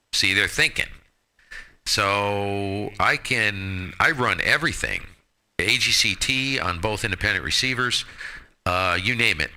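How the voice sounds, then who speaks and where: A man's voice: deep voice, bright, forceful tone. A man chatting casually about his radio hobby in a blunt, opinionated way, with mild folksy exclamations, speaking into a headset mic. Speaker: ham radio operator hosting a live stream.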